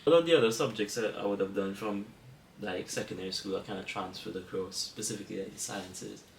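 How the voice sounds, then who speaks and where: A man's voice: lowish voice, fast talker, gravelly, recorded from a distance. A young man from Trinidad and Tobago with a Trinidadian accent, chatting casually as a student guest and rambling a little as he corrects himself. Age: young adult. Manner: relaxed, rambling, self-correcting. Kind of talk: casual chat. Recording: echoey room, off-mic, faint room noise.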